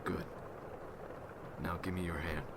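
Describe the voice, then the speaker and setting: A man playing a grim, vengeful vampire hunter in an ASMR roleplay, speaking in a solemn, brooding and deliberate manner. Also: low voice, little pitch movement. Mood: businesslike, grim. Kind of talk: ASMR roleplay, dramatic monologue. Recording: loud ambient noise behind voice.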